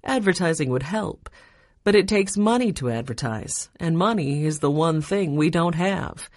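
A woman voicing a warm, wistful woman who is a family friend, in a children's audiobook. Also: sits low, lively intonation, normal speed, no room sound, studio quality.

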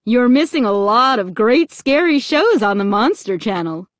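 A cheery, gushing female monster emcee from a spooky children's story, acted out by a woman narrator. The voice is high and swoops widely, with showy announcer patter and a dry, close sound. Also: sing-song, normal speed, no room sound.

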